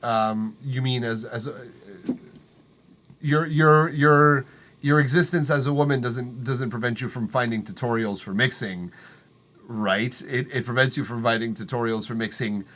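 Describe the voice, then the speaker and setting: A man's voice: medium pitch, measured pace, a bit bright. Male streamer with a tenor voice, laid-back, profane and rambling, with lots of ums. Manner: loose, profane, rambling with fillers and restarts. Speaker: livestream music feedback host. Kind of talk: casual live-stream chat. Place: acoustically treated home studio room.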